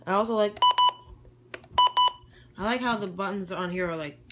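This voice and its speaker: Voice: medium pitch, moderate pace. Someone giving a casual, rambling show-and-tell of a collection, often trailing off and correcting themselves, recorded on homemade camera audio. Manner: hesitant, trailing off and self-correcting. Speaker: YouTube hobbyist presenting a collection. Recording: homemade camcorder audio with noticeable background noise.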